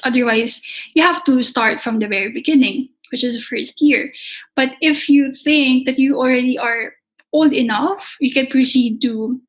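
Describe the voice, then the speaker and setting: High-voiced young Filipino-accented woman. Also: low-quality recording. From Philippines. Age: young adult. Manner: hesitant, repeats words while searching. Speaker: culinary instructor, guest. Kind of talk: casual interview conversation.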